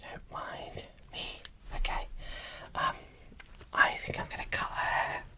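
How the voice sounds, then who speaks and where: A host speaking in a breathy whisper into a close microphone, giving casual, enthusiastic ASMR unboxing commentary. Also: takes it slowly, pushed and bright.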